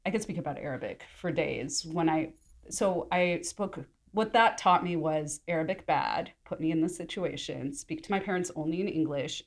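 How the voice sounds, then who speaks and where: A young adult woman from the United States, casually and animatedly telling a story as a guest in a roundtable chat. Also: low voice. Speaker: podcast guest.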